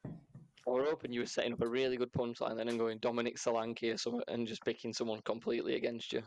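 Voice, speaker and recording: Fast, flat-voiced man. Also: medium pitch. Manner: dry, teasing. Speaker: podcast co-host.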